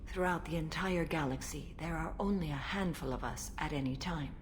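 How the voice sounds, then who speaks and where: A woman playing an ancient, stern and serene alien warrior in a video game, speaking formally and with measured solemnity. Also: deep voice, slight background noise, lightly acted. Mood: solemn, resolute. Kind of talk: video game dialogue acting.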